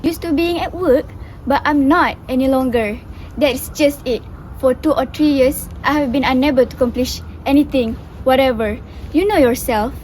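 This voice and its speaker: A woman's voice: sits high, a little mellow, slight background noise, close to an everyday voice, rough recording. A woman playing a grieving, tormented son in a stage play, with earnest, formal theatrical phrasing. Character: grieving, tormented son Heinrich mourning his mother.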